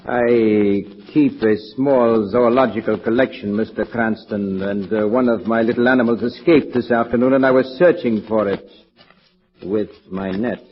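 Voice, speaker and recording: An actor playing a polite, secretive foreign professor in an old radio drama, with formal, halting, careful non-native phrasing. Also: male voice, on the low side, fairly even intonation, natural voice. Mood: politely evasive. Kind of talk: 1940s radio acting. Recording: dull old radio recording.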